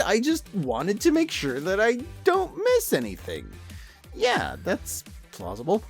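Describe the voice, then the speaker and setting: A man hosting a scripted video-essay review, speaking emphatically with playful exaggeration and joking asides. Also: fairly high pitch. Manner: animated, emphatic, hyperbolic, jokey asides. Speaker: host reviewing animated shows and media. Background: background music.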